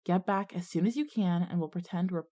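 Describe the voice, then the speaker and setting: A woman's voice: lowish voice, brisk, mellow, lightly acted. A woman playing a resourceful, take-charge schoolgirl who both narrates and speaks in an audio drama. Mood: decisive. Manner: decisive, organizing. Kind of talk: audio drama dialogue and first-person narration.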